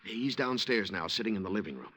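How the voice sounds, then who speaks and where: An actor playing an uneasy lookout reporting in, hesitant and informal, in a 1950s radio drama. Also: male voice, on the low side, animated, quick pace, noisy background, natural voice.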